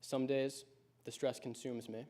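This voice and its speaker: A teenage boy, a high school senior, reads out a prepared personal speech. He tells his story in a reflective, measured way, keeping his pitch fairly level. His voice sits on the mellow, darker side. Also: little pitch movement. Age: late teens.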